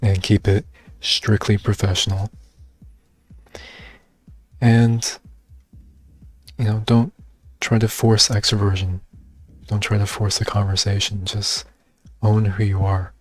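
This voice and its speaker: Soft-spoken, low, flat young man. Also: takes it slowly, pauses often, faint music behind. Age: young adult. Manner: soft-spoken, reserved, hesitant with many fillers. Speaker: vlogger. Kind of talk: personal monologue.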